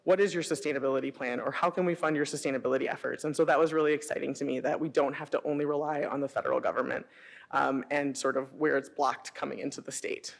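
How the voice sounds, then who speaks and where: A middle-aged woman with an American accent giving an informal presentation, telling personal anecdotes in an animated way and acting out quoted dialogue. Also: very deep voice, quick pace, vocal fry. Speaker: city sustainability director presenting. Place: presentation hall before an audience. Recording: echoey hall, long reverb.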